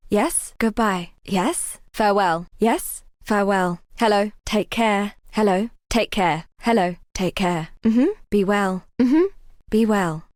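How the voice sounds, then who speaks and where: An actress playing a devout, weary young woman healer exiled on a grim coast in a fantasy game, speaking in a solemn, measured, wry way. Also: medium pitch, dry recording, clean studio sound, lightly acted. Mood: gentle, resigned. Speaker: non-player quest giver. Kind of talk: dramatic fantasy game dialogue.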